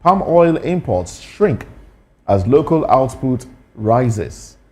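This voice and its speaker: A man's voice: medium pitch, sing-song, slow pace, a little mellow. A man from Lagos with a Nigerian accent, hosting a casual discussion of the news. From Nigeria, grew up in Lagos.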